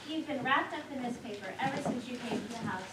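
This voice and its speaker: An actress on stage playing a scatterbrained, chatty wife, dithering yet sure of herself, with emphatic theatrical delivery. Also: female voice, on the high side, fast, close to an everyday voice. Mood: breezy. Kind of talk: live one-act stage play acting. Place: theater stage, picked up from a distance. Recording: roomy, distant, noisy theater recording.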